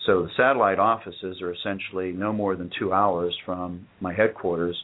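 A low-voiced businessman answers interview questions in a measured, matter-of-fact way, often pausing on "uh" as he explains. The recording is narrow and tinny, like a phone call. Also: steady tone. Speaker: company owner and guest.

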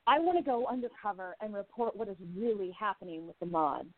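Woman playing a brash flapper columnist, lively swooping delivery, tinny phone-line sound. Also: mid-range voice, a little fast, no echo. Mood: confident. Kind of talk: amateur radio play acting.

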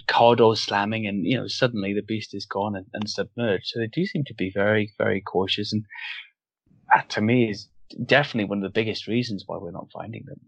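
A man who grew up in Wales, without a Welsh accent, talking as a guest in a casual interview and pausing thoughtfully to find his words. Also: fairly low pitch, creaky, breathy edge, no room sound. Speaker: guest author. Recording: dull, dry lo-fi audio.

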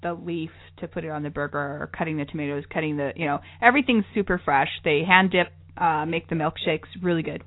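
A bubbly, chatty woman co-hosting a travel talk show, laughing often as she talks. Her voice sits on the low side. The sound is thin and tinny, like a phone line. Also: quick pace, hardly any pauses. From lived in New York City. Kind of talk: casual chat.

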